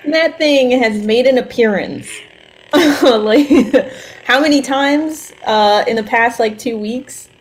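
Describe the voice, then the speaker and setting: A woman's voice: fairly high pitch, slow, a little hiss or hum, rough recording. A woman co-hosting a podcast, chatting in a casual, conversational way. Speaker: podcast co-host.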